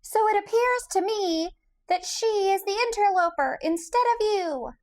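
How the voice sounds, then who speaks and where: Pompous bug scholar, shrill and fussy; woman reader voicing him. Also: animated, measured pace, a little mellow. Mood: indignant. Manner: pompous, self-important. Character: pompous educated male insect, fussy and dramatic.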